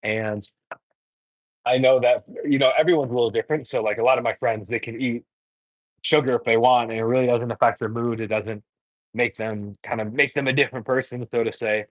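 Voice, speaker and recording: A man's voice: mid-range voice, fairly even intonation, fairly quick, dry recording, narrow, tinny audio. A man, chatting frankly while cooking on a livestream, full of fillers and restarts. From born in Korea, raised in the US. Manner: rambling, filler-heavy, frank. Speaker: dating coach host.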